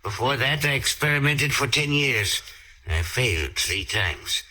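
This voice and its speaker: An actor playing an elderly, agitated scientist guarding his life's work in an old radio drama, with a raspy voice. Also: medium pitch, animated, unhurried, pushed and bright, full character voice. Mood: wary, anxious. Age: older. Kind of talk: 1940s radio acting.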